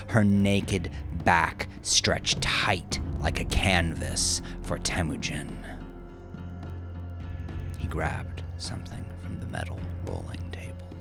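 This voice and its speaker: A man narrating a dark audiobook story in a grave, suspenseful, deliberate manner. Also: lowish voice. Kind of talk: dramatic scripted audiobook narration. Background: music under the voice.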